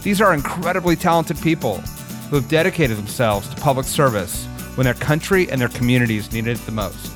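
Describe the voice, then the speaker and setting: A man co-hosting a podcast, reading a scripted intro and then chatting in a friendly, relaxed way. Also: medium pitch, fairly quick, over music. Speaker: podcast co-host.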